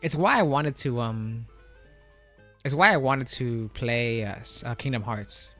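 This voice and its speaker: A man's voice: medium pitch, measured pace, sharp tone. Laid-back young man streaming over music. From Florida. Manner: laid-back and rambling, reads out chat questions, frequent laughs and self-corrections. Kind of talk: casual live-stream chat with viewers.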